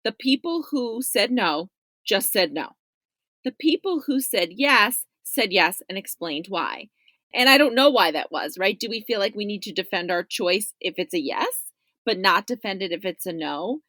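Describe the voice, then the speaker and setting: Female journalist guest, medium-pitched voice, dry recording, casual conversational answers. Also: lively intonation, moderate pace, a bit bright, no room sound. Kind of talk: casual interview chat.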